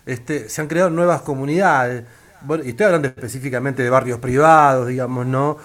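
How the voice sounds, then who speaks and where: A man's voice: medium pitch, fairly quick. A man from Argentina, hosting a radio interview and talking with many fillers and restarts. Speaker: radio host.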